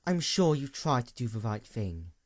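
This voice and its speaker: A man voicing a weary, bitter female unicorn in a story, with a quiet, measured murmur. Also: mid-range voice, big pitch swings, no echo. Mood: bleak.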